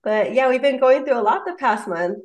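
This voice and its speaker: A woman's voice: sits high, animated, a little fast, echoey room. A woman hosting a podcast and coaching her guest, gushing and affectionate in casual chat.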